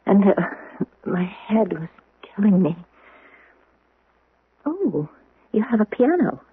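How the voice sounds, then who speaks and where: An actress playing a gentle, humble young model in a radio drama, hesitant and wistful. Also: female voice, medium pitch, very animated, full character voice. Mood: shy, apologetic. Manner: hesitant, apologetic, breathy pauses. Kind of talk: radio drama acting and first-person narration. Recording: noisy, narrow-band old radio recording.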